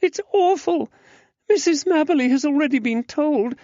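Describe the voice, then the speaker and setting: A man's voice: very high voice, expressive, normal speed, mellow, no room sound, pitched above the performer's own voice. Susanna, a man voicing a tearful young lady. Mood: distressed. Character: distraught young gentlewoman, tearful and fluttery. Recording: dull lo-fi, dry.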